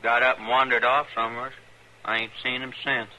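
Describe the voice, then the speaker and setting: Sharp-voiced, callous frontier farm boy. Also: mid-range voice, little pitch movement, a little fast. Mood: dutiful. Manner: folksy, matter-of-fact, surly. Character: callous, obedient frontier son of a preacher. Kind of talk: old-time radio western acting. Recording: noisy old radio recording.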